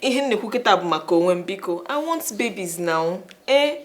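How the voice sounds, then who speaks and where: A woman's voice: mid-range voice, animated, moderate pace, natural voice. An actress playing a young Nigerian wife who mixes Igbo and English as she presses her husband for a child. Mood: insistent. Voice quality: insistent, mixing Igbo and English. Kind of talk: film drama.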